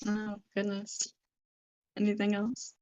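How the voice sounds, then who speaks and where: A young woman hosting a casual online interview, her voice a little soft and hoarse, talking with many fillers and pauses. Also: medium pitch, completely flat delivery, muffled recording. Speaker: interview host.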